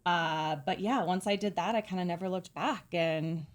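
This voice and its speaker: Relaxed, low-voiced chatty woman hairdresser. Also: normal speed. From California. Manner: relaxed, chatty, many fillers and giggles. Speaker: hairdresser guest. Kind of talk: casual interview storytelling.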